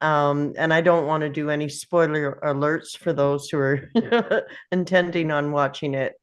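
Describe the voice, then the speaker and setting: A woman with a low voice shares her view in a casual group discussion. She speaks slowly and thoughtfully, pausing as she looks for the right words. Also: fairly even intonation, smooth.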